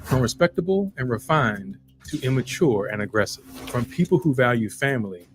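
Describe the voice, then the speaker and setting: Guy reading a script, steady and even, music clearly under him. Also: medium pitch, normal speed. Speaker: video narrator. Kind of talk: scripted narration.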